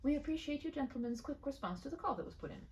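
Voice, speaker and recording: A woman voicing a prim, affectionate shopkeeper wife in a proper, polite, hushed manner. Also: sits high, lively intonation, fast talker, distant mic, lo-fi sound, a little hiss or hum, voice pushed up. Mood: composed, queenly.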